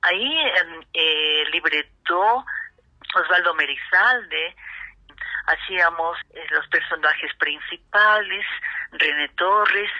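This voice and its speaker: An older woman with an Ecuadorian accent reminisces in an interview as a radio actress, pausing between phrases. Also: sits low, bright, forceful tone. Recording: dull, narrow-band sound.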